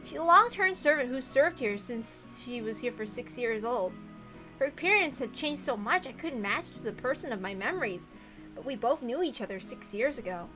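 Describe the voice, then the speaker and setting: A woman's voice: a little high, expressive, moderate pace, poor audio. A woman reading visual novel narration aloud, with casual asides. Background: faint music.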